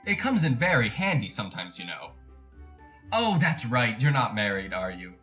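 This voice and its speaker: Pompous husband, lively swooping voice. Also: male voice, mid-range voice, moderate pace, faint music behind. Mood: smooth, chatty. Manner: pompous, formal diction, stammering when flustered. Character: pompous, evasive, henpecked philandering salesman husband. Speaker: actor in a radio drama. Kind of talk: retro 1940s radio comedy-thriller acting.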